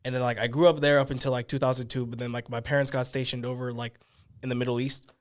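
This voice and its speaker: A young man from Hawaii and the Bay Area, a podcast guest, telling stories in relaxed, slangy casual chat. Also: medium pitch, quick pace. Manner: laid-back slangy storytelling.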